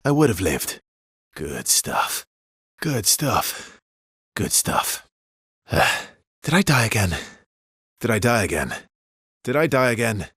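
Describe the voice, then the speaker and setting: Battle-hardened immortal swordsman for a video game: lowish, deliberate, intense, breathy and husky, terse dramatic combat calls. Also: very animated, fairly bright tone, no echo, clean studio sound, heavily acted. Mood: dry, understated, then wry, weary. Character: weary, battle-hardened immortal male swordsman, grimly determined.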